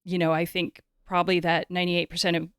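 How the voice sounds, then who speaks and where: A woman's voice: on the low side, flat delivery, fast talker, fairly bright tone, smooth, dry recording, clean studio sound. A Canadian woman hosting a conversational interview, informal and often searching for her words.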